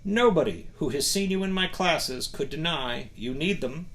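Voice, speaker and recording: A waspish, superior male wizard teacher from a storybook, acted out by a male reader. He speaks with silky sarcasm and delicate stress, his animated pitch sliding around as he sneers. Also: moderate pace. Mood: silky, disdainful.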